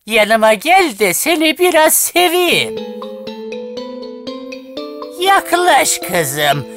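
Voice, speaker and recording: A woman voicing a sly wolf disguised as a sweet old grandmother in a children's cartoon, cooing in an exaggerated, drawn-out way. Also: sits high, sing-song, fairly bright tone, faint music behind, full character voice. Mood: coaxing. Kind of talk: children's cartoon fairy tale acting.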